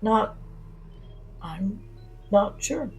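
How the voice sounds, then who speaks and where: A woman plays a dim front desk boy turned henchman in an audio drama. He sounds husky and absent-minded, hesitating vaguely over his words, with faint music behind. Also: medium pitch, expressive, extremely slow, a little mellow, heavily acted. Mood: vague, confused.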